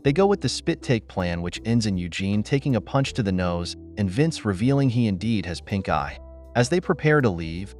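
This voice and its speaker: A man's voice: on the low side, darkish tone. A man reading a scripted recap narration as the host of a breakdown video. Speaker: host of a TV episode breakdown channel.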